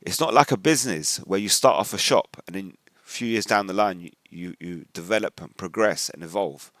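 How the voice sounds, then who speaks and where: Very low-voiced Englishman, close dry sound. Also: a little fast, a bit bright, dry recording. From England. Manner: colloquial, slangy, acting out reported remarks. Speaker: religious video commentator. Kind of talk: informal spoken commentary with personal anecdotes.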